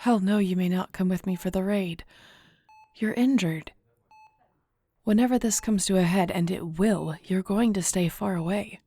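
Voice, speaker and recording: A woman playing a fierce, protective, stubborn but tender wolf-shifter in an intimate audio roleplay, hushed and firm. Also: mid-range voice, normal speed, lightly acted. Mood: stern, protective.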